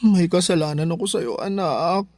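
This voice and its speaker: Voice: mid-range voice, normal speed, darkish tone, dry recording, close to an everyday voice. An actor with a Filipino accent playing a doting, coy, forgiving parent in a campy, emotional radio drama style. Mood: apologetic. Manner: playful, campy, emotional, slang-laden. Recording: music under the voice.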